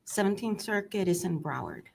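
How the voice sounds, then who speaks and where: A Cuban-born woman whose first language is Spanish, testifying as a court interpreter, soft-spoken and hesitant with many ums. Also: medium pitch, big pitch swings, normal speed, vocal fry, slight background noise. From Cuba.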